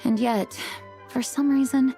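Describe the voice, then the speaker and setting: A woman's voice: high voice, fairly even intonation, a little slow, warm tone, faint music behind. A woman voicing an earnest, slightly weary acting commander of knights in a fantasy video game. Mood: pensive, weary.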